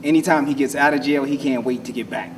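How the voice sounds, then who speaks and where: A man giving a casual interview as a playwright and actor, speaking in a halting, reflective way. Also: medium pitch. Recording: noisy room, distant microphone.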